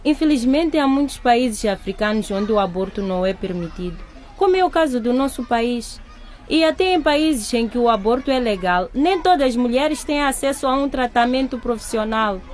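A woman's voice: on the high side, lively intonation, moderate pace, darkish tone, natural voice. A woman playing a middle-aged grieving mother in a radio drama, frank, emphatic and earnest. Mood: earnest, advising. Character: grieving mother, blunt, guilt-ridden, advising a younger woman.

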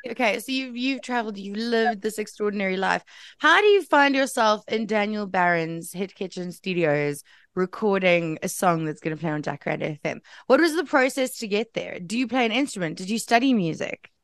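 A woman's voice: mid-range voice, lively intonation, normal speed, a bit bright, clear, resonant tone, dry recording, studio quality. A woman interviewing a guest, bubbly and firing off several questions at once. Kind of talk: casual interview.

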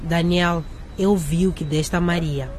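A woman's voice: on the low side, fairly even intonation, unhurried, a little mellow, a little hiss or hum, lightly acted. Woman playing a sly, taunting young schemer. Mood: cool, suspicious. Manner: taunting, sardonic. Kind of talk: radio drama acting.

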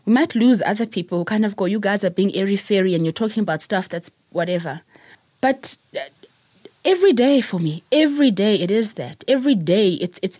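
A middle-aged South African woman, a public speaker, telling her story in an interview in an earnest, reflective way, with soft laughs. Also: mid-range voice, slightly rough, no room sound, studio quality. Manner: earnest, repeats words, laughs softly while telling stories.

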